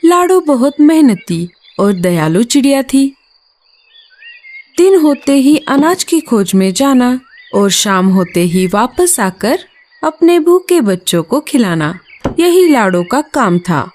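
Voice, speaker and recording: Expressive woman storybook narrator. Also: on the high side, slow pace.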